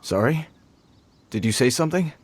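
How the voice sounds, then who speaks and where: An actor playing an earnest, by-the-book city guard in a video game, formal and long-winded. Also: male voice, medium pitch, animated, moderate pace, noisy background, natural voice. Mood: official. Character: earnest, by-the-book male city guard soldier, pompously dutiful.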